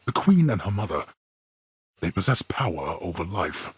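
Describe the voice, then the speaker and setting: Grim god of the underworld for a game, really raspy low voice, formal and menacing. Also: male voice, very animated, moderate pace, dry recording, heavily acted. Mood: stern menace. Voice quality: husky, gravelly. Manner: formal, archaic, menacing, disdainful. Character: grim, imperious god of the dead and stern father. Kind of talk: theatrical video game villain acting.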